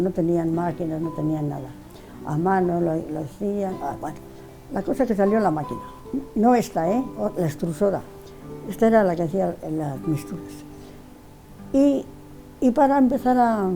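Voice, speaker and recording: A woman's voice: mid-range voice, warm tone, poor audio. An older woman being interviewed, telling old memories in a rambling way, often repeating words and restarting. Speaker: interviewee, widow of the artist. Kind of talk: casual reminiscing in an interview.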